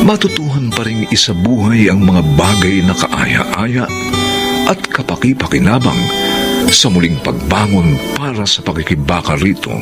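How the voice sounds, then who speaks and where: A man with a Filipino accent giving dramatic radio drama narration and reading credits. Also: lowish voice. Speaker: narrator and director.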